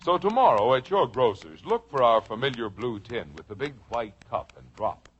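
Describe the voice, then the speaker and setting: A man's voice: mid-range voice, big pitch swings, moderate pace. A man with an American accent giving a polished, persuasive 1950s radio commercial read. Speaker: commercial announcer. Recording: muffled old radio, faint noise.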